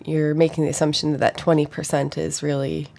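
A woman doctor is a guest on a radio interview, speaking cautiously and qualifying every estimate. Her voice is very low and gravelly. Her delivery stays flat and even. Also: brisk. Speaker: guest physician.

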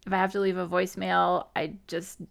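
A woman's voice: fairly low pitch, level tone, brisk, gravelly. A middle-aged woman from southern Missouri, co-hosting a podcast and telling candid, reflective stories in casual chat. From southern Missouri, USA. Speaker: podcast co-host.

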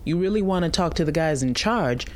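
A folksy American mother who runs a barbecue stand, played by a woman in an audio drama. Her lowish voice moves with lots of expression, straight-talking and hospitable, over faint background ambience. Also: animated, a little fast, a little hiss or hum, natural voice. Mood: wry, frank. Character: hospitable, plain-spoken mother running a homemade barbecue stand. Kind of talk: audio drama acting, conversational.

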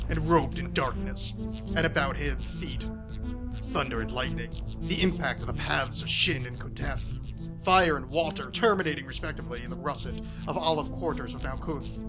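A man voicing a dark, solemn occult priest in a grave, declamatory dramatic reading. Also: mid-range voice, close to an everyday voice. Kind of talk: dramatic reading over backing music.

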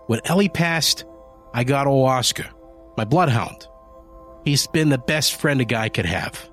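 A man's voice: mid-range voice, animated, unhurried, a bit bright, soft background music, pitched above the performer's own voice. A man voicing a kindly older widower who talks in a folksy, encouraging way. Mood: friendly.

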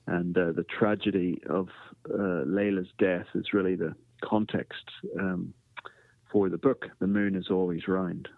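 A middle-aged man with a Northern Irish accent, a podcast guest telling his story in a measured, reflective way. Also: low voice, level tone, creaky, dry recording. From Northern Ireland. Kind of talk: interview conversation. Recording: tinny phone line.

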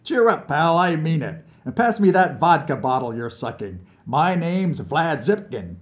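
A man's voice: on the high side, big pitch swings, a little slow, voice pushed up. A man doing a bragging drunk Soviet scientist. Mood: boastful. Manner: boastful, chatty, conspiratorial. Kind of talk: audiobook character voice.

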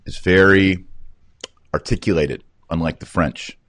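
A man with a Texan accent hosting a podcast interview, relaxed and joking, asking questions. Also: low-pitched, a little slow, no room sound. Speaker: podcast host. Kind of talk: casual conversational interview.

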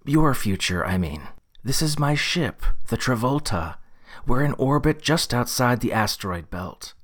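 A man acting an eager, awkward guy, animated delivery. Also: medium pitch, measured pace. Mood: explaining, proud. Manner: earnest, slightly hesitant. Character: eager, awkward young man, a disco-obsessed time traveller. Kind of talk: acted dialogue in an audio story. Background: music.

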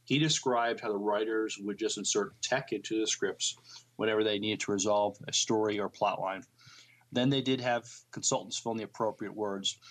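A man co-hosting a podcast, reading out entertainment news and commenting in a somewhat halting, unpolished way. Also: on the low side, a bit bright. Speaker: podcast co-host.

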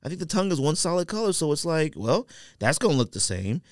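A man's voice: a little high, sing-song, fast, no room sound, clean studio sound. A man co-hosting a podcast in casual, opinionated chat, talking in a rambling, repetitive, slangy way. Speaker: podcast co-host.

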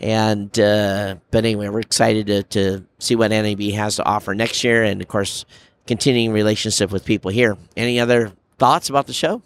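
A man hosting a podcast in a casual, chatty style, with halting phrasing. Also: fairly low pitch, fairly even intonation. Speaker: podcast host. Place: booth in a very large trade show hall. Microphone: podcast microphone.

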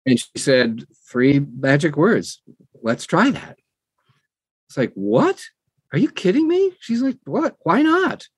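Animated older man telling personal stories. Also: on the high side, slow, husky, no echo. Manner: animated storyteller who acts out quoted dialogue. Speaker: guest, audiobook narrator. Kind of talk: conversational personal storytelling.

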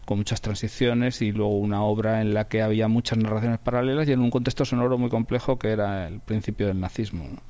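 A man's voice: on the low side, steady tone, fast talker, few pauses, dark tone, lo-fi sound. A man with an accent from Spain, talking reflectively in an interview as a radio drama director, often repeating words for emphasis. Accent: Spanish from Spain.

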